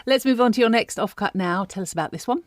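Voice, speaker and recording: A woman's voice: medium pitch, expressive, quick pace. A woman hosting a podcast interview in a conversational style, putting questions to a guest and responding to the answers.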